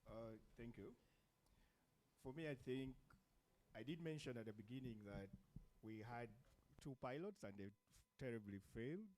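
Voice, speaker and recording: A man investor on a panel answering a question in a measured, soft-spoken way. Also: medium pitch, expressive, a little hiss or hum. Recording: distant from the mic.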